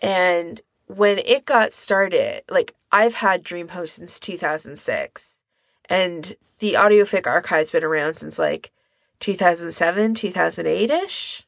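A woman with a lowish, creaky voice talks casually as a podcast co-host. She is careful to qualify her claims, and the sound is dry and close, with no room echo. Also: unhurried. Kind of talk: casual discussion.

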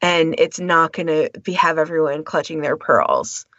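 A woman's voice: sits low, normal speed, bright, forceful tone, no room sound, lo-fi sound. A woman co-hosting a podcast, explaining her thoughts at length in a casual panel discussion. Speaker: podcast co-host.

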